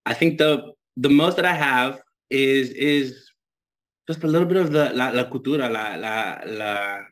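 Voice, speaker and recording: A man who grew up speaking English talks through an interview in halting Spanish, which carries a Dominican lilt. He restarts his sentences and slips into English phrases, his voice sitting at a middle pitch. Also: a bit bright. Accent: Dominican-tinged Spanish, English-speaking. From Ecuador and the United States. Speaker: interview guest, musical performer. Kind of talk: casual interview.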